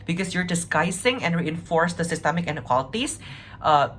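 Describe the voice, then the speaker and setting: A woman with a low voice delivers a competitive debate speech. She argues earnestly in a conversational, half-improvised way, tagging points with little checks for agreement. There is some background noise on the recording. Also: expressive, brisk, a bit bright. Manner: earnest, conversational, frequent 'right?' and 'like' tags. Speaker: competitive debater, first affirmative speaker.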